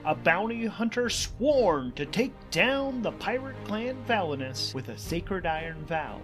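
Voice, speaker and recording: A man hosting a solo tabletop role-playing game, narrating and thinking aloud in an informal, animated, enthusiastic way. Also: high-pitched. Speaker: host and player of a solo tabletop RPG podcast. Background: music.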